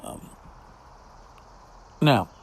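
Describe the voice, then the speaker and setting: A man hosting a podcast alone, talking casually in a rambling, exasperated, sarcastic way. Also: very slow. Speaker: podcast host. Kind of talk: casual solo commentary, reading out emails. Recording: noticeable background noise.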